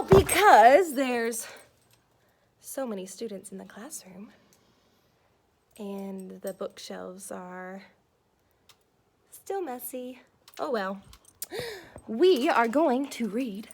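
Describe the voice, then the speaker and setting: A woman's voice: a little high, darkish tone, slight background noise. A woman schoolteacher chatting to young pupils in a child-directed way, asking questions during a read-aloud.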